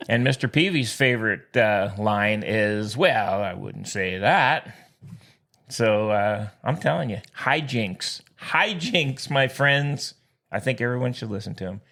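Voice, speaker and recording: A man's voice: lowish voice, expressive, a little slow, gravelly, fairly bright tone. A man co-hosting a podcast in casual banter, exuberant and jokey, given to theatrical catchphrases. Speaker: podcast co-host.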